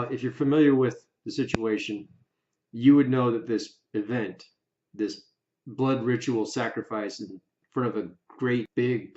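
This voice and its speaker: A man giving opinion commentary for an online video, speaking emphatically and deliberately in short, separated phrases. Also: medium pitch, darkish tone. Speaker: YouTube video creator. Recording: roomy echo, slightly dull sound.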